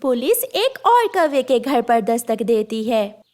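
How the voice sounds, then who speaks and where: A woman's voice: fairly high pitch, big pitch swings, slow, a little mellow. A woman storyteller narrates a children's tale. She reads scripted lines, telling the events scene by scene.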